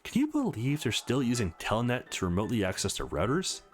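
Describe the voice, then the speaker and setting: A man's voice: medium pitch, huge pitch swings, a little fast, soft background music. A man voicing an eager, nerdy young woman hacker, playful and cocky, from a visual novel game. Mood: eager, confiding.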